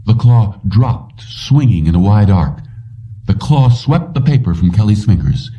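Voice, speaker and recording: A man's voice: fairly low pitch, animated, unhurried. A man narrating a scripted audiobook story. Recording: slightly distant, dull lo-fi recording.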